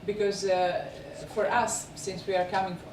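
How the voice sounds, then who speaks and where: A woman with a Serbian accent hosting a film festival, speaking unscripted and haltingly as she searches for words. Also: medium pitch, flat delivery. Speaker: film festival host introducing films and guests. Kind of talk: unscripted spoken introduction and interview. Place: echoey screening venue. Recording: echoey, noisy room, far from mic.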